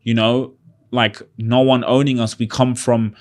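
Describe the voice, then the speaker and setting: A man's voice: medium pitch, level tone, unhurried. A man speaking as a guest on a casual podcast interview, talking passionately and emphatically, repeating his key points with frequent "you know" and "like".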